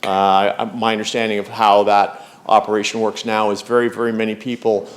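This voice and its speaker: A man with a Canadian accent, a developer answering council, speaking loudly and confidently while standing in a council chamber. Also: lowish voice, flat delivery, fairly quick. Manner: loud, confident.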